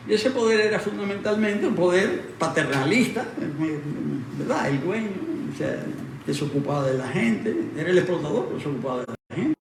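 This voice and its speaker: A man explaining history in conversation, haltingly and reflectively, often repeating phrases. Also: sits high. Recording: very echoey room, distant mic, faint noise.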